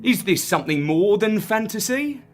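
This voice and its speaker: A man's voice: high-pitched, expressive, moderate pace, faint music behind, voice pushed up. A man voicing a jumpy palace night guard in a children's story, with theatrical, archaic challenges. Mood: alarmed.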